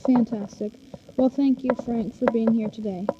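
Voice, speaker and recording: A woman's voice: fairly high pitch, steady tone, moderate pace. A woman hosting a 1940s-style radio interview, reading out prepared questions. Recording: dull recording with faint noise.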